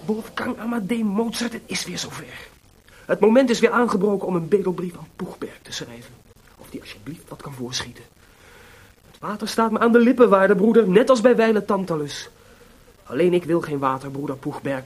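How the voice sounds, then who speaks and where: A proud, volatile composer in a radio play, with a high voice for a man. He is theatrical and bitingly sarcastic, able to move between muttering and shouting. There is clear noise on the recording. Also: poor audio. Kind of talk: Dutch radio drama acting.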